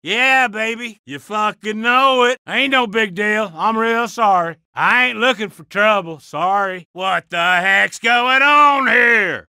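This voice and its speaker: Game barks: hot-headed Southern tough guy, very high pitch, dry recording. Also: takes it slowly, hardly any pauses, smooth, no echo, full character voice. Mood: belligerent. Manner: loud, profane, belligerent. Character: brash aggressive country tough guy.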